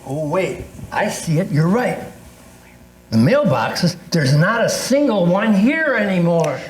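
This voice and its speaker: Man acting a bemused, high-voiced older man. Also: big pitch swings, slow, heavily acted. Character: skeptical, wisecracking older man reminiscing with friends. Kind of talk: amateur readers theatre performance. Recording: roomy echo with faint noise.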